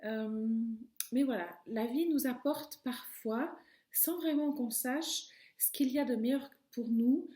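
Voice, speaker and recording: A middle-aged woman talking calmly and reflectively to camera, her phrases often trailing off softly. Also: high-pitched, takes it slowly. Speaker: video host. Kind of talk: personal reflective monologue. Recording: echoey room.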